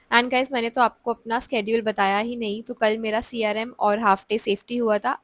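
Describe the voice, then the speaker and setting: A woman's voice: mid-range voice, no room sound. A young woman, narrating her vlog in a chatty voice-over and mixing in English, with a sore throat from a cold. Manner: chatty Hinglish storytelling. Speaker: vlogger. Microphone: close voice-over mic.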